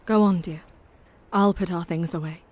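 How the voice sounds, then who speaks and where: An actress playing a devoted, anxious young Victorian wife in a gothic audio drama, speaking tenderly and earnestly with formal period phrasing. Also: female voice, fairly low pitch, fairly even intonation, normal speed, natural voice. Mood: tender, reassuring.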